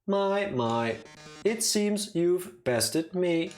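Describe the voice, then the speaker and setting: A game streamer voicing a flamboyant, smug showman villain with slow, theatrical, drawn-out delivery. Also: mid-range voice, animated, pitched above the performer's own voice. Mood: smug, mocking. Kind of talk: game character voice acting.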